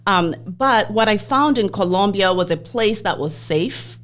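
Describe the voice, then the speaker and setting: A woman's voice: medium pitch, expressive, a little slow, a bit bright. A woman in her forties from Zambia, hosting a podcast and telling her own life story in an open, reflective way. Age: 40s. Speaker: podcast host. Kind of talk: personal interview conversation.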